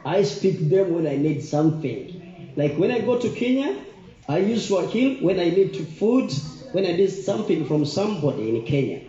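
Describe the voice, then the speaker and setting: Ugandan man, very dark mellow voice, echoey room. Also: high-pitched, sing-song, normal speed. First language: Luganda. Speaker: community event speaker. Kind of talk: informal public speech. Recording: muffled, echoey room recording.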